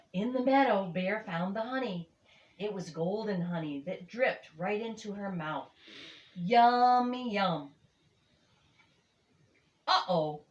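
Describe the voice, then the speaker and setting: Unhurried older woman reading in echoey room. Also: lowish voice, muffled recording. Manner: expressive, emphatic phrasing for children. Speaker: storybook reader. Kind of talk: children's story read-aloud.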